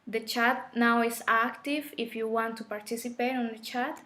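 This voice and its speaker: A middle-aged Colombian woman speaks English with a Colombian Spanish accent, Spanish being her first language. Her voice stays flat and even in pitch as she talks unhurriedly to a live stream audience, pausing on fillers and restarting phrases. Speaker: spiritual course teacher.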